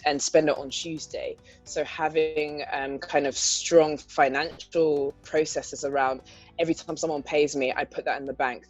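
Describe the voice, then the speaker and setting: A woman with an accent from around London speaks thoughtfully in an interview, pausing on "um" as she builds her points. The audio is dull and lo-fi. A quiet guitar plays behind her. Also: extremely low pitch. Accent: English, London area. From England. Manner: thoughtful, articulate, frequent "um" fillers, light laughs. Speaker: guest entrepreneur. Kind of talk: conversational interview answers.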